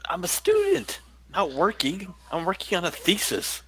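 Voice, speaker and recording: A man's voice: high-pitched, wildly swooping pitch, normal speed, fairly bright tone, exaggerated voice, rough recording. A man voicing a sleepy, curt young student woken up aboard a ship. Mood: testy.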